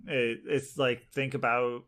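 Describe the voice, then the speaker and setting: A man's voice: medium pitch, little pitch movement, unhurried, a bit bright. A man co-hosting a podcast, explaining his points in a casual, conversational style. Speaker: podcast co-host. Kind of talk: casual pop culture discussion.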